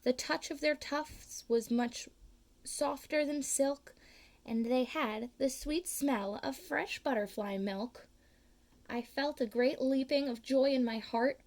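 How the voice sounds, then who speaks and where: A woman reads a picture book aloud to children in a slow, unhurried way. Her voice sits a little high, and it leaps up on the words she wants to stress. She reads each line with storyteller care. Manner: slow, expressive reading with big pitch swings on key words.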